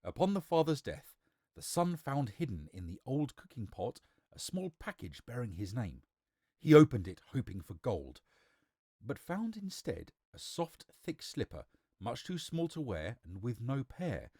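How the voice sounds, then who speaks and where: Male storybook narrator, theatrical delivery, very lively pitch movement, dry close recording. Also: measured pace, no room sound. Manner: theatrical, emphatic.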